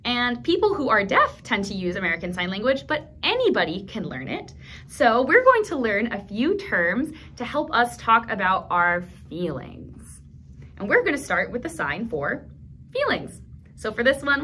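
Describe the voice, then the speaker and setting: Upbeat lady talking to little kids at storytime, highish voice, encouraging, some room noise behind. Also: moderate pace. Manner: animated, encouraging, simple clear phrasing for young children. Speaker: children's storytime host at a library. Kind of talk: instructional talk to toddlers and caregivers. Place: small indoor room.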